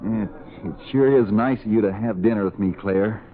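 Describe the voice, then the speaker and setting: An actor playing an earnest, naive, folksy pig farmer in a vintage radio comedy. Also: male voice, on the low side, moderate pace, close to an everyday voice. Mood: shy, friendly. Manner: folksy, literal-minded, guileless. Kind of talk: old-time radio comedy acting. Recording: muffled vintage radio recording, faint noise.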